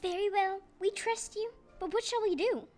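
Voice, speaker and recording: Squeaky-high, tiny mouse queen. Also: fairly even intonation, normal speed, a little mellow. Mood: regal. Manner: formal, effusive, exclamatory. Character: tiny regal queen of field mice, proud and grateful. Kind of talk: stage audio play acting. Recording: live stage recording with music.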